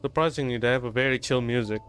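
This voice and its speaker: A man giving casual live-stream game commentary in a laid-back, halting, slangy way. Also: medium pitch, a bit bright, slight background noise. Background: game music.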